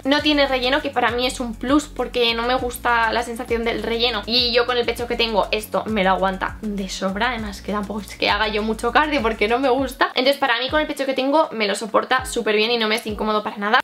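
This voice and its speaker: A woman's voice: a little high, a bit bright. A woman in her twenties with a Castilian Spanish accent, chatting informally to camera in a casual haul vlog with rambling asides. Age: 20s. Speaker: vlogger. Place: small bedroom, indoors. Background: background music.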